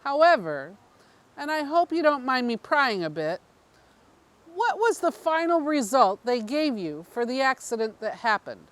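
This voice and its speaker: A woman's voice: sits high, sing-song, a little slow. A woman voicing a polite but pushy female reporter in an audiobook, questioning with tactful insistence. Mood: politely prying. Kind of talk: audiobook character dialogue.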